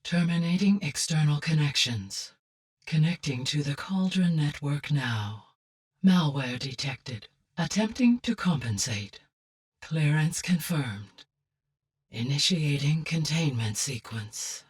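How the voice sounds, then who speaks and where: Calm motherly game ai, low soft slightly husky voice, formal and precise, sounds a bit distant. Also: exaggerated voice. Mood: alert, procedural. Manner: measured, precise, formal diction. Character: female artificial intelligence, calm, courteous, motherly machine mind. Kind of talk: scripted video game voice acting.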